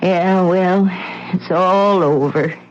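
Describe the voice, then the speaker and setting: Old widow, radio play. Weary, halting, slow delivery; dull vintage sound. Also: female voice, fairly low pitch, faint music behind. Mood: weary, uneasy. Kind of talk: radio drama acting.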